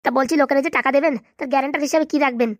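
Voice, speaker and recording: A man's voice: extremely high pitch, steady tone, rapid-fire, no room sound, clean studio sound. A man voicing an anxious, reluctant young husband in a comedy cartoon, fretful and evasive with his father-in-law.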